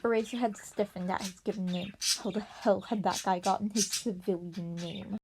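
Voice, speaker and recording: A woman's voice: mid-range voice, steady tone, normal speed, a little mellow. A woman reading fan fiction narration aloud as an amateur podfic reader. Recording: noisy amateur recording with birds chirping.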